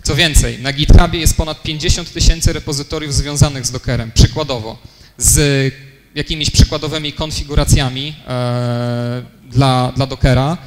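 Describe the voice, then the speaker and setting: A young man giving a technical conference talk in a lecture room, explaining step by step with many fillers and self-corrections. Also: mid-range voice. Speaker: conference speaker. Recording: long hall reverb, faint noise.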